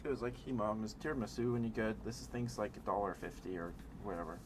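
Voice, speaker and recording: A man streaming ASMR, chatting in a halting, rambling way through casual personal stories. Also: lowish voice, background noise.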